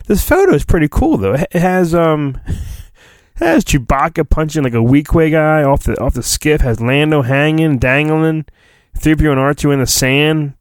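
Animated man giving a hammy comic reading. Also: fairly high pitch, moderate pace, no room sound. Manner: hammy delivery broken by joking, profane asides. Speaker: podcast host. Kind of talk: comedic dramatic storybook reading.

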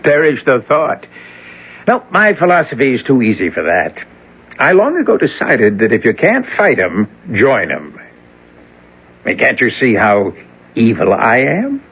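Brief: possessed young man, sly and teasing, husky and lively, muffled old radio play. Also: mid-range voice, measured pace, heavily acted. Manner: sly, teasing, menacing undertone. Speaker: actor in radio drama. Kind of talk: 1970s radio drama acting.

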